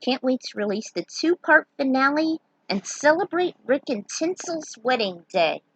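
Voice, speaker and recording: A woman's voice: high voice, very animated, moderate pace, fairly bright tone, husky, no room sound, rough recording. A woman talking casually about her fan fiction story and sharing her notes as a writer. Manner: chatty, sign-off upbeat.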